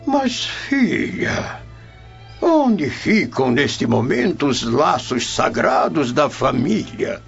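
Elderly Roman grandfather, Brazilian accent, gravelly, expressive, solemn and paternal, radio drama. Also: fairly high pitch, extremely slow, heavily acted. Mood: gently reproachful. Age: older. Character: elderly Roman grandfather, grave, tender but perplexed traditionalist. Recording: muffled lo-fi radio drama with music.

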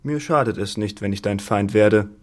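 An actor playing an insolent young farm lad in a literary radio drama, cheeky and provocative. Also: male voice, lowish voice, moderate pace, lightly acted. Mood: defiant, mocking.

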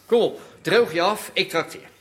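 An actor playing a smooth-talking gym owner in a Dutch radio drama, coaxing like a false friend. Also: male voice, sits high, normal speed, fairly bright tone. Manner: coaxing, chummy.

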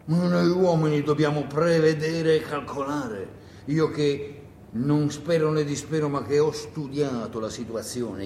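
A man's voice: a little mellow, natural voice. A man playing a hardened village miller in a stage play, blunt, proud and grimly emphatic. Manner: emphatic, declarative, grim. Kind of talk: solo theatre monologue. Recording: echoey room with noise and rumble.

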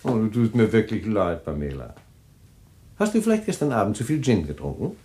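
An actor playing a husband hiding a secret, smooth and charming with friends but defensive and quick to lose his temper. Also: lowish voice, big pitch swings. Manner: smooth, then flustered and shouting on the phone. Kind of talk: radio play acting.

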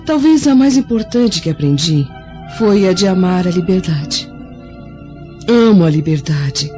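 Mellow, frail young Roman noblewoman. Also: lowish voice, expressive, unhurried. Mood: gentle, resolute. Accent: Brazilian. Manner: tender, earnest, theatrical. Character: gentle, frail blind young noblewoman of ancient Rome. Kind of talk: radio drama acting. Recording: dull lo-fi audio with music.

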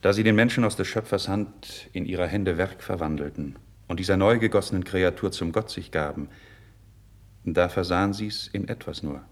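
Idealistic young noble speaking frankly to his king, fairly low voice, earnest formal verse delivery, old radio drama. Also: moderate pace. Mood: grave, reproachful. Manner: declamatory, earnest, formal stage diction. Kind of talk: 1950s German radio drama, classical verse acting.

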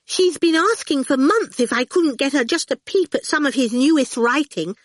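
A woman voicing a fussy, gushing, falsely bright spinster for a storybook, with strained politeness. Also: high-pitched, animated, normal speed, dry recording, studio quality, pitched above the performer's own voice.

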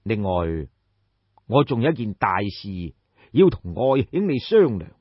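A man's voice: lowish voice, big pitch swings, a little slow, breathy edge, no room sound, muffled recording, voice pushed down, exaggerated voice. A man voicing a shrewd, commanding ancient king in an audiobook, lecturing in a pompous, rhetorical way. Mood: grave, commanding. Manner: pompous, rhetorical, sighing and explaining at length. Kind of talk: dramatised audiobook storytelling.

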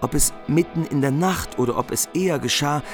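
A man's voice: a little high, a little fast, lightly acted. A man delivering scripted literary narration in a radio play. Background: music.

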